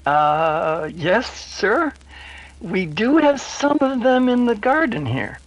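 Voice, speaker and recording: A woman playing a superstitious, jittery, folksy house servant with a hesitant, excitable manner, in a 1930s-style radio drama. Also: mid-range voice, takes it slowly, dull audio. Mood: spooked.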